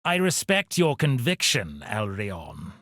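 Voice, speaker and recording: An actor playing a pompous, scheming aristocratic villain in a video game, haughty, condescending and melodramatic. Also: male voice, medium pitch, wildly swooping pitch, takes it slowly, a bit bright, no room sound, clean studio sound, heavily acted. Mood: haughty, scornful.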